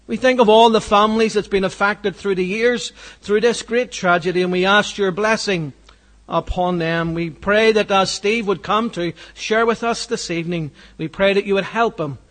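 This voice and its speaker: Church host, man, higher voice, earnest with fillers, leading welcome and prayer. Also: a bit bright. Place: church hall.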